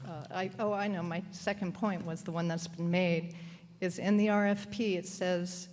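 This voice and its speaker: A woman speaking during public comment at a town council meeting, hesitant and pausing as she loses her train of thought. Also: on the low side, a little mellow. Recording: echoey hall, faint hum.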